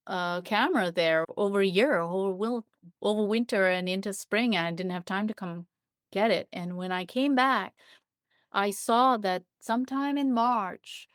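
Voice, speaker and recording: A woman's voice: medium pitch, no echo, clean studio sound. A woman speaking as an interview guest, explaining things in a thoughtful, descriptive and earnest conversational way, repeating points for emphasis. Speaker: interview guest, biologist and writer.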